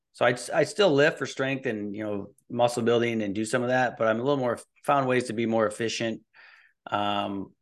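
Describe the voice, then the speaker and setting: A man in his early forties talking casually and informally as a fitness coach being interviewed, explaining things in a rambling way. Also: medium pitch. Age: early 40s. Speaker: fitness and nutrition coach, podcast guest.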